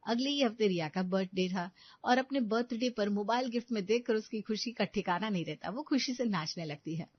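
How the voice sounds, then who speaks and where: A woman's voice: medium pitch, a little fast, no room sound, lo-fi sound. A woman narrating a scripted family moral story as a storyteller.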